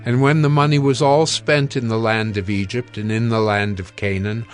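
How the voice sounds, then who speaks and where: A man's voice: lowish voice, fairly even intonation, normal speed, soft background music. A man reading scripted Bible narration for an audio drama.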